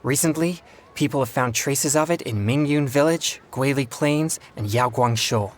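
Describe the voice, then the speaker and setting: An actor playing a polite, earnest young male exorcist in a video game, speaking in formal, courteous, measured phrases. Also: mid-range voice, a little hiss or hum, lightly acted. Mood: earnest, pondering. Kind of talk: scripted video game dialogue.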